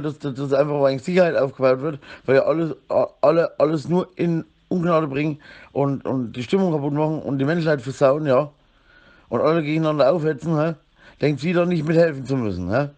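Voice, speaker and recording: A man's voice: a little high, a little mellow. Dull lo-fi recording of rambling man. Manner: repetitive, frequent tag words. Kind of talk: rambling complaint monologue.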